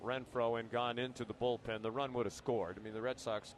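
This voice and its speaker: A male baseball broadcaster with a medium-pitched voice comments on live play. There is faint ballpark noise behind him. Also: flat delivery, a little fast.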